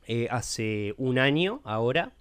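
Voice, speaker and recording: Relaxed young Uruguayan man chatting. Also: mid-range voice, slow pace, clean tone. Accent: Uruguayan Spanish. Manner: relaxed, rambling, frequent "este" fillers. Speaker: podcast co-host. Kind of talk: casual chat.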